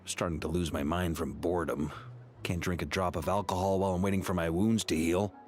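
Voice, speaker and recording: An actor playing a hearty, jokey, easygoing grandmaster in a video game. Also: male voice, deep voice, steady tone, fairly quick, soft background music, natural voice.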